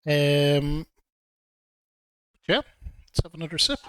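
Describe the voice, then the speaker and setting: Bright, crisp-sounding man with a cold. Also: on the high side, slow pace, no room sound, studio quality. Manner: relaxed, hesitant with frequent ums. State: has a cold, throaty. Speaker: whiskey reviewer. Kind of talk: casual video review.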